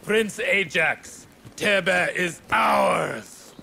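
A man's voice: high-pitched, extremely slow, creaky, pushed and bright, husky, heavily acted. Pompous, arrogant warrior king. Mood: triumphant. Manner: pompous, declamatory. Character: proud, arrogant conquering Greek warrior king. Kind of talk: dramatic video game cutscene acting.

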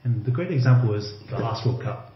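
A man's voice: medium pitch, animated, a little fast. A man, a former rugby league player, talking casually as a podcast guest and leaning on "you know" and "like". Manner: loose and conversational, many fillers like "you know" and "like". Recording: roomy, slightly dull remote audio.

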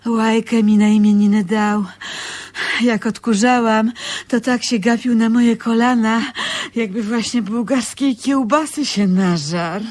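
An older actress playing a wistful, complaining sister in a radio drama, with a plaintive, theatrically reproachful manner. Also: female voice, fairly high pitch, steady tone, unhurried, a little mellow. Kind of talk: naturalistic radio drama acting.

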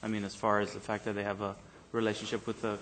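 A man's voice: fairly low pitch, completely flat delivery, quick pace, fairly bright tone, a little hiss or hum. A man on a city council arguing his point in a meeting, hesitant and plainspoken, often repeating words, in a council chamber.

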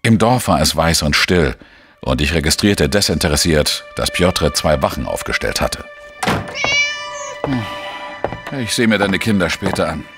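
An actor playing a world-weary male investigator, narrating his case in a measured, introspective way for a crime audio drama. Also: extremely low pitch, animated, over music. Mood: subdued.

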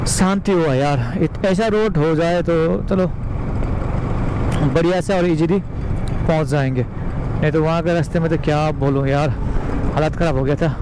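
A man riding a motorbike, giving a chatty running commentary to his viewers and exclaiming at the rough roads. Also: high-pitched. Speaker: motorcycle travel vlogger. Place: outdoors on a moving motorbike. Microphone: helmet or bike-mounted action camera. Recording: loud road and engine noise.

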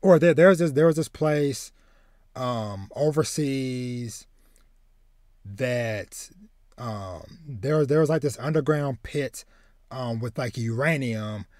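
A man in his thirties with a medium-pitched voice chats casually as a podcast host. He rambles in an animated way, often doubling back on words. The sound is close and dry. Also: slow pace, no room sound. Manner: animated, rambling, repeats words while searching for phrasing. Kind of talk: casual chat, retelling a film plot. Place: home room with bookshelves, indoors.

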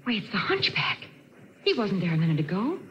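A woman's voice: medium pitch, sing-song, fairly quick, close to an everyday voice. A woman playing a sleuth's anxious, inquisitive lady companion in 1940s radio drama style. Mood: curious, hushed. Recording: dull, very noisy vintage radio recording.

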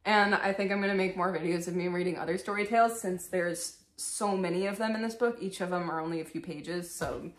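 A woman's voice: lowish voice, fairly quick, few pauses. A young woman hosting a dramatic storybook reading, with casual, irreverent asides, recorded in a bedroom. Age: young adult. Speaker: storyteller and video host. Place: indoors, small bedroom. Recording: echoey room.

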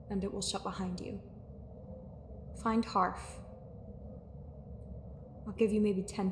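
A woman playing a weary, guarded sorceress in an audio roleplay, earnest and anxious, with a breathy, husky voice and hesitant, sighing phrasing. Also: mid-range voice, darkish tone, slight background noise, lightly acted. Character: weary guarded female sorceress, anxious, fiercely logical, secretly lonely. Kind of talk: dramatic audio roleplay acting.

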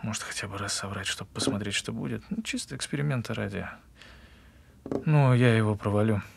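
An actor playing an evasive, self-justifying husband in a naturalistic audio drama, speaking in a halting, husky way. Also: male voice, fairly low pitch, noisy background. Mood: brooding. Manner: halting, pausing mid-confession. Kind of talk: naturalistic audio drama with murmured inner monologue.

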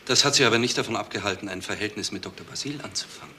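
A pushy male investigator in a radio crime play. His lowish voice is forceful and fast, all curt questions. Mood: pressing. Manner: curt interrogating. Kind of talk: German radio crime drama acting. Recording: faint background noise.

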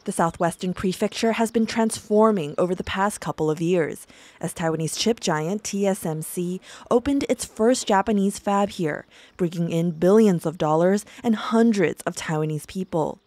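Mellow-voiced woman reading a news report. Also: on the low side, normal speed, no echo. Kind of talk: scripted news narration. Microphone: studio voice-over mic.

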